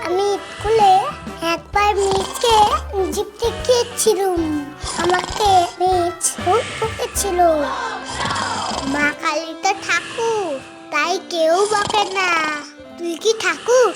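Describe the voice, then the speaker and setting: A performer voicing a naive, bickering, curious little child in an animated story, with a childlike voice. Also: very high-pitched, slow, long pauses. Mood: naive, matter-of-fact. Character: squabbling, curious little children, a brother and sister. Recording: loud children's music behind.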